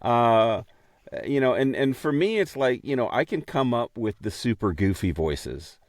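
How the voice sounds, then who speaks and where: A man co-hosting a podcast in casual chat, speaking haltingly with a self-deprecating manner. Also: mid-range voice, sing-song, no room sound, clean studio sound. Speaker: podcast co-host and amateur voice actor.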